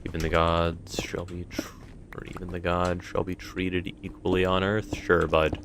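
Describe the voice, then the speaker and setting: Casting: young male streamer, deep voice, strained, rambly chat to viewers, faint noise behind. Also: flat delivery, moderate pace. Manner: rambling, reads chat aloud and answers it. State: voice feeling strained. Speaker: streamer running a game server. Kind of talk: casual live-stream chat.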